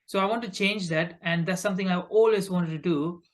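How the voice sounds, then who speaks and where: A man from Sri Lanka answers interview questions conversationally, often halting and repeating words mid-phrase. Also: high voice. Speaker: podcast guest, recruitment company founder.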